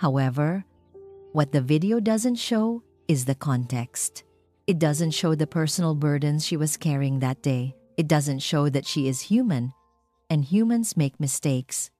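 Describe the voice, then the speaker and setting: Calm, authoritative Filipino businessman played by a female narrator for a romance audio story, mellow and formal. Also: low voice, faint music behind. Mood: solemn, resolute. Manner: measured, formal, emphatic public-speech delivery. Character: confident, commanding male airline CEO, calm and firm.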